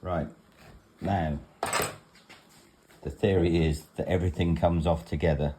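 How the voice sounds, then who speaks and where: A man's voice: sits low, fairly even intonation, measured pace, background noise. A man giving a casual home demonstration in a rambling, matter-of-fact way, like a vlogger in his kitchen.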